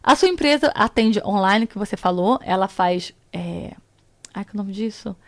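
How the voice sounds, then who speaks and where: A woman's voice: mid-range voice, normal speed. A Brazilian woman with a Brazilian Portuguese accent hosting a casual podcast, chatty and outspoken. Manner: chatty, outspoken, animated asides. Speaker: podcast host.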